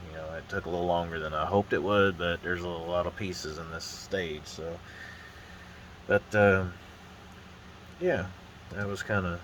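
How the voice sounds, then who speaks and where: Casual hobby vlogger, low-voiced man, congested and a bit nasal, meandering delivery recorded on a phone. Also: slight background noise, low-quality recording. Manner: rambling, relaxed, frequent uh and restarts. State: stuffy nose from a sinus infection. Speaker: model-building vlogger. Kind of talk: casual hands-on build commentary. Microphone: phone on a holder arm.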